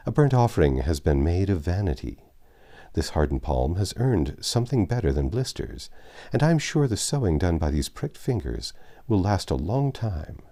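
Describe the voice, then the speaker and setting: A man voicing a proud, tender middle-aged father in a storybook reading, speaking in a measured, fond, fatherly way. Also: deep voice, dark tone, heavily acted.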